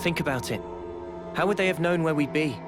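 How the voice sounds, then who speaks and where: A man voicing a calm, serious young squad leader in a game cutscene, speaking in a measured, earnest way. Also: on the high side, music in the background, close to an everyday voice. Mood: grave. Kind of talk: video game cutscene acting.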